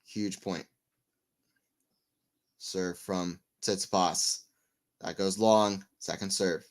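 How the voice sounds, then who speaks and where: A man giving live tennis play-by-play on a stream, chatting with viewers and getting excited on big points. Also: sits low, drawn-out words. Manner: excitable on rallies, rambling and self-mocking between points. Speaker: tennis watchalong commentator. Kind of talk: live play-by-play and casual chat.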